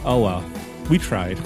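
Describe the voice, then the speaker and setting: A man hosting a podcast in a casual, conversational style. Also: lowish voice. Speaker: podcast host.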